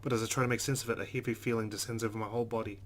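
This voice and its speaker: A man's voice: lowish voice, flat delivery, rapid-fire. A man hosting a let's play, narrating a visual novel aloud and adding his own asides.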